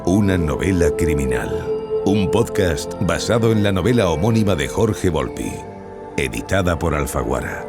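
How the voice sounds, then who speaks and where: A man's voice: sits low, big pitch swings, normal speed, over loud music. A man announcing podcast titles in a dramatic scripted style.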